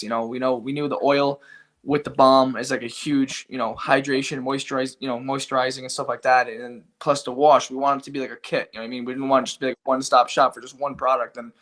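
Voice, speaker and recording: A man with a Rhode Island accent who founded a small company, talking casually as a guest. He meanders through his points with plenty of fillers, at an ordinary mid-range pitch. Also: a bit bright. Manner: rambling, many fillers. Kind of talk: casual interview talk.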